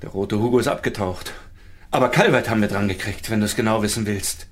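A smug, menacing kidnapper with a low voice, played by a man. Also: fast, natural voice. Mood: smug, taunting. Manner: taunting, cold-blooded. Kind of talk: German radio drama acting.

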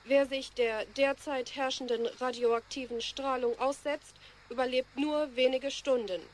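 A woman's voice: high voice, steady tone, measured pace, slight background noise. An actress playing a sober woman announcer reading a grave, formal emergency bulletin in a radio drama. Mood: grave, composed. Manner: composed, official.

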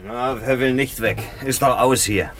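A man's voice: medium pitch, a little slow, fairly bright tone, slight background noise, natural voice. A man acting a wry, chatty small-town petrol station keeper in a German radio play, folksy and colloquial. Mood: wry grumbling. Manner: folksy, colloquial, deferential to the doctor.

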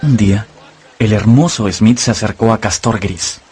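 A man's voice: fairly low pitch, normal speed, darkish tone. A man giving literary storytelling narration for a radio adaptation of a novel. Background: music.

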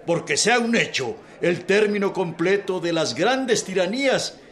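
An actor playing the orator who opens a revolutionary convention, speaking in a slow, declamatory way in a radio drama. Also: male voice, sits high, lively intonation, a bit bright, exaggerated voice. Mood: rousing.

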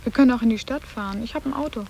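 High-voiced sardonic schoolgirl. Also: rapid-fire, creaky, mellow, slight background noise. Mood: provocative. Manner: blunt, terse questioning. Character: sarcastic, provocative teenage schoolgirl, probing and cool. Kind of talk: German radio play acting.

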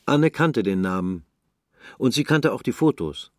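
A man narrating a literary radio play in a measured, even way. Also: medium pitch, dry recording, clean studio sound.

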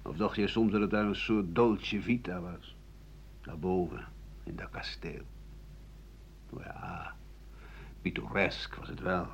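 An actor playing a bitter old French doctor-writer in a radio play, rambling through a sardonic, coarse, self-justifying monologue in a husky voice. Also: male voice, low voice, fairly even intonation, slow pace, long pauses, slight background noise, full character voice. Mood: weary, sardonic. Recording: dull lo-fi 1980 radio recording.

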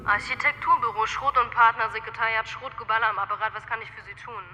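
A polished, evasive secretary in a radio drama. Her brisk, higher voice is very sharp, with reverb and faint noise. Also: fairly even intonation. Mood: polite, dismissive. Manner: formal, brisk, professional. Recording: reverberant, faintly noisy line.

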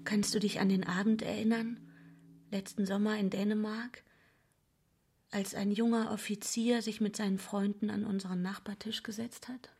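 A woman acting in a radio play as an intimate, troubled wife, confiding in a hushed, deliberate way. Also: mid-range voice, flat delivery, breathy edge. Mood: hushed, wistful. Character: wife confessing a secret longing, intimate and troubled.

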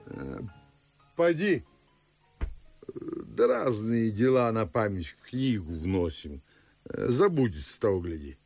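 An actor playing a fussy, grumbling older Moscow father and official in a verse radio play, with drawn-out declamatory delivery. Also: fairly low pitch, sing-song, a little mellow, husky, no echo, soft background music, exaggerated voice. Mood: irritated, fussy. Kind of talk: theatrical audio drama in verse.

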